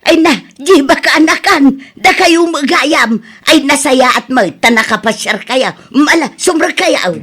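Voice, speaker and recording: Woman acting a high-voiced Ilocano village wife, expressive. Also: a little slow. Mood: welcoming. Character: exasperated pregnant village wife, pleading and wailing. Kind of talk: Ilocano radio drama acting.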